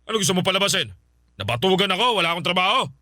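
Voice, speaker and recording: A man playing a scornful, domineering, quick-tempered middle-aged uncle in a Filipino radio drama, scolding and sneering. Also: sits high, very animated, bright, forceful tone, no echo, clean studio sound, heavily acted. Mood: angry, threatening.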